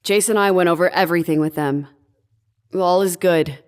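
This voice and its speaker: A woman's voice: on the low side, a little fast, close to an everyday voice. An actress playing a composed, serious woman in a sci-fi audio drama. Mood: businesslike.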